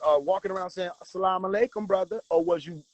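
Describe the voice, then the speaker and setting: A man hosting a livestream, talking forcefully and preaching directly at his viewers. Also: sits high, quick pace, dry recording, lo-fi sound. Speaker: livestream host. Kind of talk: casual livestream talk.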